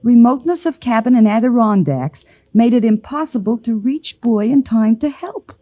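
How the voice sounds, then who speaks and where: Lively, sassy office girl. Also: on the high side, moderate pace, no echo, dull audio. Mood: matter-of-fact reading. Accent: American. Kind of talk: 1940s-50s radio drama acting. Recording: old radio drama recording.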